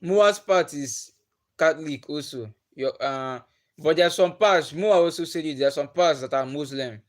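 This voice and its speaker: A man's voice: on the high side, normal speed. A young Nigerian man, a native Igbo speaker, casually chatting with viewers on a livestream and asking them questions. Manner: chatty, laughs often, asks viewers questions. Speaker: livestream host. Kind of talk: casual chat with live viewers. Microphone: phone or webcam on a livestream.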